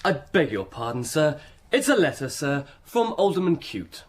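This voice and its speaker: A timid, deferential secretary in a period drama. His pitch moves about a great deal. He speaks tentatively, always obsequious. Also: very animated, normal speed, slight background noise. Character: nervous, obsequious male secretary to a gentleman. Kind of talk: period radio drama acting.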